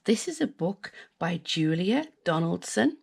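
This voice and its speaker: A woman with a British accent reading a children's picture book aloud in an expressive, sing-song way, with asides to young listeners. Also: on the low side, measured pace, creaky, breathy edge. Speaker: storyteller, children's channel host.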